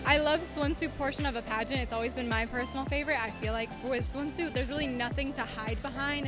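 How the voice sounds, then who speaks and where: A young woman from Cuba with a slight Southern US accent, her first language Spanish, chatting upbeat and polite as a pageant contestant. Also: fairly high pitch. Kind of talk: casual interview answers. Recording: music playing behind.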